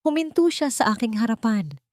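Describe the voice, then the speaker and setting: A woman with a Filipino accent narrating a scripted dramatic story in the first person, in a measured, emotive way. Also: on the high side, big pitch swings, a little mellow, dry recording, studio quality.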